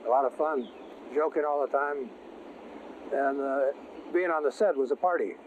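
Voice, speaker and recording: A man from San Francisco telling an interview story in a relaxed, rambling way with many pauses. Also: medium pitch. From San Francisco, USA. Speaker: interviewee, actor. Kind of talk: casual recollection in an interview. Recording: tinny phone-like sound with music.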